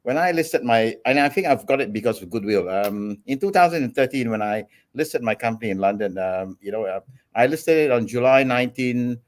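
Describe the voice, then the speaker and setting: A man in his forties from Singapore, a guest on an interview show, telling his story in a relaxed, steady way with many ums. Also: medium pitch, brisk. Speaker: guest entrepreneur.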